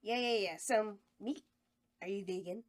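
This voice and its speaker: A woman's voice: medium pitch, unhurried, close to an everyday voice. A woman voicing a brash, crude horror hostess at a script table read, with a sassy folksy drawl. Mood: teasing. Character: brash trash-talking female horror hostess vampire.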